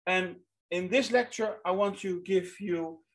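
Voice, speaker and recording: A man's voice: sits high, measured pace. A man in his thirties with a Dutch accent, giving an online lecture in English as a second language, earnest and often repeating words as he searches for them, recorded over a webcam in a small room. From Netherlands.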